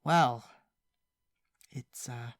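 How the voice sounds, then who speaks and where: A woman's voice: rumbling low, drawn-out words, no room sound, studio quality, heavily acted. A woman voicing a frank, wry older-sister pony, a former ruler, in a cartoon parody. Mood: confessional.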